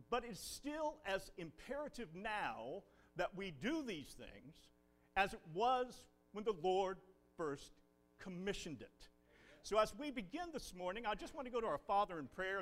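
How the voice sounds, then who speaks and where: A man, a visiting preacher leading a Bible class and prayer in a folksy, animated way. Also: high voice. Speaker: guest preacher. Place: church building.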